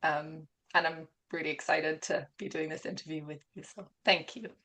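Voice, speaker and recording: A woman's voice: sits low, steady tone, moderate pace, pushed and bright. Earnest woman with a mixed British accent. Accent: mixed British and Gibraltarian. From England and Gibraltar. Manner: open, earnest, laughs often while telling her story. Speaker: guest. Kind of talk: personal story in an interview.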